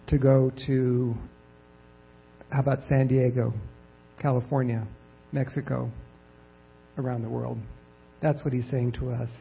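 A soft-spoken man giving a lay sermon as his church's missions president, halting with fillers and repeated words. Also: medium pitch, creaky, husky. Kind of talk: lay sermon with scripture reading. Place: church sanctuary. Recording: dull lo-fi church recording.

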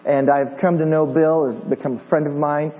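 A man from Hawaii in his fifties, preaching to a congregation in a friendly way that jokes and stumbles over restarts. His voice sits a little high. The recording is dull and lo-fi, with a mains hum underneath. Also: flat delivery, moderate pace. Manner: friendly, joking, with many fillers and restarts. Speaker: pastor. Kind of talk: sermon.

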